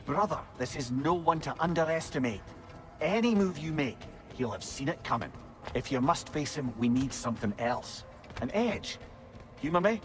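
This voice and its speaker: An actor playing a witty, talkative older companion in a video game, with a Scottish accent and a wry, wordy manner. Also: male voice, fairly high pitch, lively intonation, moderate pace, close to an everyday voice. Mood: cautioning. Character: witty, talkative older male companion, warm-hearted advisor. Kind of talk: video game drama acting.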